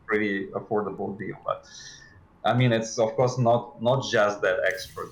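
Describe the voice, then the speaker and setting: A man with a deep voice chats as a game developer joining a livestream. He explains details casually, hedging and feeling his way through the sentences. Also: steady tone, normal speed, vocal fry, rough recording.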